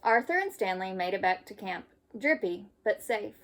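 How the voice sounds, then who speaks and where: A woman reading a children's story aloud like a teacher, with expressive storytelling. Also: medium pitch, moderate pace, slight background noise.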